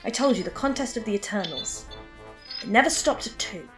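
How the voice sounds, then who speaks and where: A woman playing a quick-witted, flippant and determined time-travelling adventurer in an audio drama. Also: on the high side, animated, soft background music, close to an everyday voice, low-quality recording. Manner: wry, flippant, teasing.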